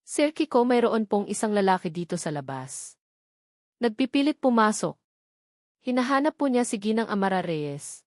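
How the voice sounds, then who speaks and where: A woman's voice: medium pitch, sing-song, normal speed, long pauses, no room sound, studio quality, natural voice. A woman voicing a hesitant, deferential male security guard in a story reading. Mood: hesitant, polite. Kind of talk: dramatic storybook character reading.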